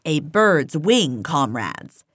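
A woman's voice: sits low, sing-song, husky, no echo, clean studio sound, voice pushed down, full character voice. Woman reading as a lecturing, clever pig orator. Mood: didactic, assured. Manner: declaratory, deliberate, lecturing. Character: clever male pig orator, pedantic revolutionary leader. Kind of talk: audiobook character voice.

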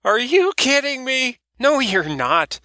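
A man voicing a brash older woman who runs a dock hot dog stand, raspy and loud, bossy and teasing. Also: very high voice, very animated, takes it slowly, no room sound, clean studio sound, pitched above the performer's own voice, heavily acted. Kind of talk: audiobook character voice.

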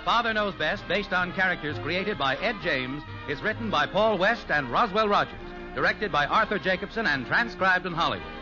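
A man's voice: high-pitched, lively intonation, moderate pace. An American man announcing and narrating in scripted 1950s radio style. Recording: muffled old radio broadcast. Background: music.

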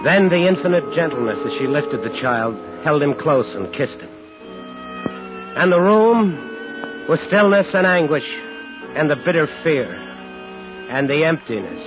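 Intense high-voiced war veteran, old radio thriller. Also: male voice, very animated, unhurried, pauses often. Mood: brooding narration. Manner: terse, insistent. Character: determined, angry young war veteran hunting his brother-in-law's killer. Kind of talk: 1950s radio thriller acting and narration. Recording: dull 1950s radio broadcast with music.

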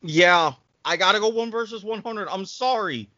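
A man speaking as a podcast panelist in a casual debate, with a deliberate, emphatic and halting delivery. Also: sits high, pushed and bright, dry recording, dull audio.

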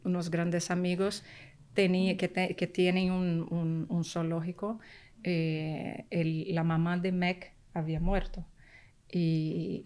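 Lady, lowish voice, loose chatty guest, laughs a lot. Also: smooth. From Brazil. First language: Portuguese. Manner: warm, laughs often, trailing restarts. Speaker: guest soprano. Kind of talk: casual interview chat.

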